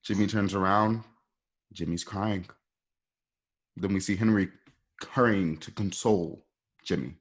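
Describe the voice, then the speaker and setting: A man narrating a radio play, reading out scene directions in a conversational way with a husky voice. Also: low voice, fairly even intonation, a little slow, pauses often.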